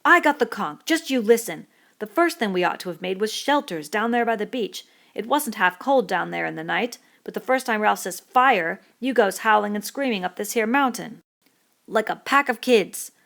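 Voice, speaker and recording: A woman's voice: medium pitch, animated, normal speed, gravelly, fairly bright tone, pitched above the performer's own voice. A woman reading an audiobook voices an indignant, bespectacled schoolboy who lectures the others in breathless working-class dialect.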